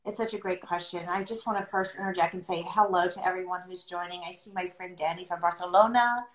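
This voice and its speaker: A middle-aged woman speaking reflectively and conversationally on a livestream, earnest and deliberate, pausing as she chooses her phrases. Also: lowish voice, fairly even intonation. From born outside the US, adopted into America. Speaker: podcast host sharing her experience as an adoptive mother. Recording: roomy, echoing sound.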